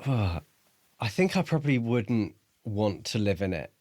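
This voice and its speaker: A man co-hosting a podcast, chatting about books in a measured, informal and conversational way. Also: fairly low pitch, sing-song, darkish tone, no room sound. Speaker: book podcast co-host. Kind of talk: casual book discussion.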